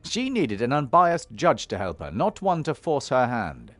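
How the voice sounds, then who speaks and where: An actor playing a calm, firm warrior hero and peacemaker in a fantasy game, speaking in a measured, earnest way. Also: male voice, medium pitch, no room sound, faint music behind.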